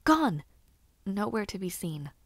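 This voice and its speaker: A woman's voice: medium pitch, takes it slowly, dry recording, full character voice. Woman playing a playful young noblewoman knight-in-training, close dry sound. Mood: gossipy. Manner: conversational, teasing, expressive, with hums and hesitations. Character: lively young noblewoman and aspiring knight, playful gossipy companion. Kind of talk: fantasy audio roleplay addressed to the listener.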